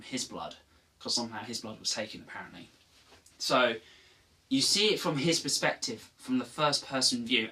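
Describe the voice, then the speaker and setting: A man's voice: mid-range voice, pushed and bright, recorded from a distance, rough recording. A man casually explaining video game lore as a channel host, rambling with fillers and correcting himself as he goes. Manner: rambling, self-correcting, offhand.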